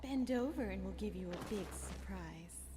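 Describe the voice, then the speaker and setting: A woman voicing a flirty, suggestive pony mare in a parody cartoon dub, hushed and teasing. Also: mid-range voice, lively intonation, moderate pace, noisy background, close to an everyday voice. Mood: seductive, teasing.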